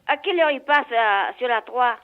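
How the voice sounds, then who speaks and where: A woman's voice: fairly high pitch, animated, normal speed, clear, resonant tone. Woman on a tinny phone. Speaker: prank call victim. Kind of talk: casual phone chat, reacting with exclamations. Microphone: telephone. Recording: tinny narrowband phone line.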